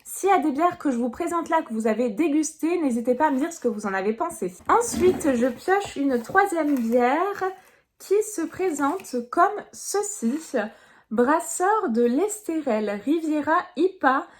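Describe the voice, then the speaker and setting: A woman's voice: high-pitched, lively intonation, measured pace. A woman from Nice, France, chatting casually through a product unboxing review in an upbeat way with frequent fillers. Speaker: box reviewer.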